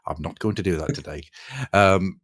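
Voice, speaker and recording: A man's voice: low voice, a little fast, fairly bright tone, no echo, studio quality. A man hosting a podcast in a casual, conversational style. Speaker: podcast host. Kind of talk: casual chat.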